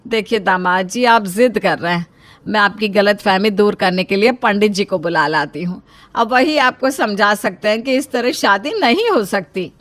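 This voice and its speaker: A woman's voice: mid-range voice, normal speed, a bit bright, lightly acted. A woman voicing a bossy, worried middle-aged mother who scolds and pleads in a cartoon story. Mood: indignant, pleading.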